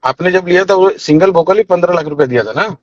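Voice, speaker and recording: Man, high voice, rapid speech, argumentative manager, insistent, dull lo-fi phone call recording. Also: no echo. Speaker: singer's manager negotiating fees.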